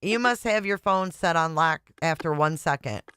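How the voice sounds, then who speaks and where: A woman's voice: sits low, moderate pace, fairly bright tone, clean tone, dry recording. A woman hosting a casual live call-in stream, bossy and teasing with the people she talks to.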